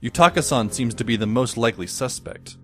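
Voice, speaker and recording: A man's voice: mid-range voice, animated, a little fast, pushed and bright, soft background music. A man playing a wry, put-upon teenage boy, narrating in the first person for an audio drama. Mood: wry, observant.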